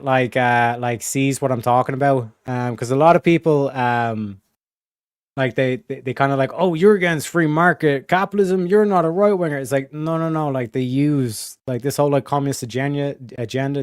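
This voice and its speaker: A man's voice: mid-range voice, moderate pace, darkish tone, clear, resonant tone, no echo, studio quality. Slangy, profane streamer man. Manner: slangy, profane, rambling with many fillers. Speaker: livestream host. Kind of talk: casual livestream chat.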